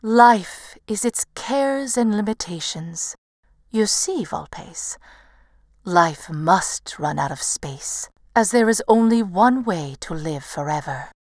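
A woman voicing a wise, radiant lady of the dawn in a storybook tale, gentle, measured and solemn. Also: mid-range voice, animated, no room sound, clean studio sound. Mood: serene.

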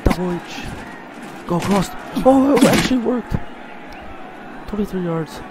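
A man with a stuffy voice from a cold, commentating his own video game play and getting excited on big plays. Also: sits high, unhurried, very noisy. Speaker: gaming channel host.

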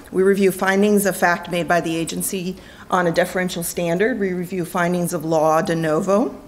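Woman, middle-aged, low-pitched, judge, keynote speech, dry humor, lectern mic, conference hall. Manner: dry self-deprecating humor. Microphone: lectern microphone.

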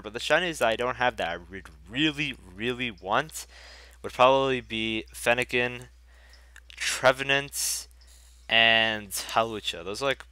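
A man's voice: medium pitch, sharp tone, clear, resonant tone, no room sound. A young man with a stuffy, congested voice, casually rambling and swearing as he comments on his game. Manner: rambling, profane, chatty. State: congested from a cold. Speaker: gaming YouTuber. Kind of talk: casual commentary over gameplay.

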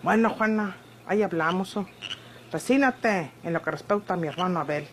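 A woman's voice: fairly low pitch, moderate pace, slight background noise, close to an everyday voice. An actress playing a feisty, blunt ranch woman with a rural Mexican accent and rustic speech, in an old radio drama. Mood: brisk, resolute. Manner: rustic, sharp-tongued speech. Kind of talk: Mexican golden-age radionovela acting.

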